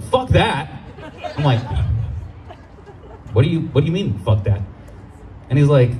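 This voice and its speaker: Animated young male stand-up comic. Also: low-quality recording. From Los Angeles. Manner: animated, acts out quoted people in high voices. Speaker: stand-up comedian. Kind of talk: stand-up comedy set. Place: outdoor brewery stage. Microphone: handheld mic.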